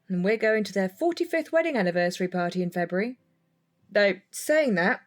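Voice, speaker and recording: An actress playing a frank, teasing, sociable neighbour in an audio drama, with a blunt and playful manner. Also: female voice, medium pitch, lightly acted.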